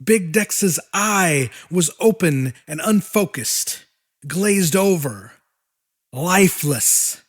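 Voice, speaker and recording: A man narrating a horror audiobook in a dramatic, deliberate style with weighty pauses. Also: sits high, lively intonation.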